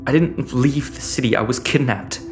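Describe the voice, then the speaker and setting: Teenage lad played by a man, troubled and wary, medium pitch, for a sci-fi audio serial. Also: normal speed, soft background music, natural voice. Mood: searching. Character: Max, stranded teenage boy, anxious, wounded and distrustful. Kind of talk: sci-fi audio drama acting.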